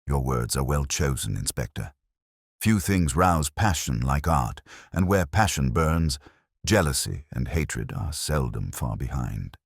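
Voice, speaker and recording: A man's voice: extremely low pitch, sing-song, a little slow, creaky, husky, no room sound, clean studio sound, full character voice. A man voicing a keen, coolly confident detective with eloquent, pompous phrasing in a storybook reading. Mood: courteous, measured.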